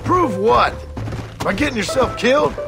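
Gruff, gravelly American cowboy father. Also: extremely high pitch, lively intonation, normal speed, exaggerated voice. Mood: scolding. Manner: gruff, scolding. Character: stern, gruff cowboy father in the Old West. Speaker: actor in a video game. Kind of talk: dramatic video game acting. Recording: game audio with background music.

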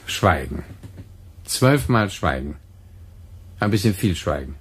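A man acting a brisk, talkative, emphatic radio dramaturg in a German radio play. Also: sits low, big pitch swings, fairly bright tone, a little hiss or hum, heavily acted. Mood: dissatisfied, pondering.

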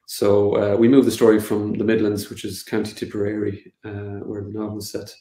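A man's voice: on the low side, completely flat delivery, a little fast, warm tone. Irish guy on a video call, keeps restarting, native irish speaker, sounds kinda roomy. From Connemara, west of Ireland. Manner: hesitant, many fillers and restarts. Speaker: film director. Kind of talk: casual interview answers. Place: home room, seated on a sofa. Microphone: video call webcam. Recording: echoey room.

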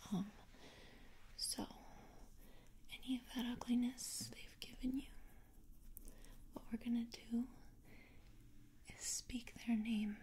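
A young woman whispers softly and breathily up close, gently soothing and reassuring in an ASMR girlfriend comfort roleplay. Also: on the high side, slight background noise. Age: young adult. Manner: soothing, gentle, reassuring, repeating words slowly. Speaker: ASMR performer. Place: small quiet indoor room. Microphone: close to the mic.

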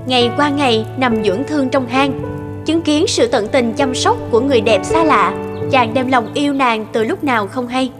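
A woman tells a scripted fairy tale as its narrator. Music is clearly heard underneath her storytelling. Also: high-pitched, normal speed.

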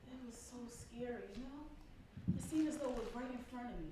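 An actress plays a hurt, defensive young woman in a stage drama. Her high voice comes from far off in a very echoey room, with clear background noise. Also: brisk, natural voice. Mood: pained, haunted. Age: young adult. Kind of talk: stage play acting. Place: indoor theatre stage. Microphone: far camera. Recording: distant echoey stage recording, noisy.

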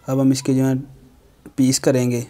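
A young man hosts a how-to tutorial. His mid-pitched voice stays very even in tone as he explains each step plainly, like an instructor. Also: moderate pace. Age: young adult. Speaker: how-to video host.

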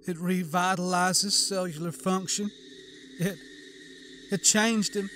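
A man voicing a grieving, half-mad woman scientist who snarls coldly and bursts into shouts. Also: high voice, fairly even intonation, takes it slowly, slight background noise, voice pushed up. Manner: cold, snarling, breaking into shouts. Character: grief-crazed, bitter woman scientist.

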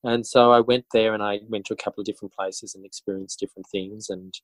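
A man with an Australian accent answering interview questions conversationally, reflective and often halting to rephrase as he tells his story. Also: fairly low pitch, fairly even intonation, no room sound, poor audio. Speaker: interview guest, author and teacher.

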